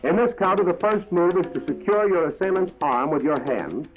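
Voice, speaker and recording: A man's voice: high voice, lively intonation, normal speed, soft background music. An American man narrating a 1940s military training film in a formal, clipped, instructional manner, on a dull vintage soundtrack.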